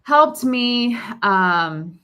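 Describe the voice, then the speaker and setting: A woman's voice: fairly high pitch, lively intonation, drawn-out words, sharp tone, clean tone. A woman in candid, reflective conversation, thinking aloud emphatically in short, broken phrases. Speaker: podcast host sharing personal reflections on grief.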